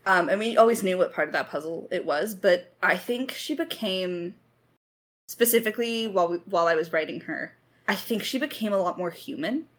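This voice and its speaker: A woman's voice: lowish voice, fairly quick. A woman talking casually and reflectively as a writer in a Q&A, with some self-deprecating humour. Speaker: lead writer of an audio drama. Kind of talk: casual Q&A discussion.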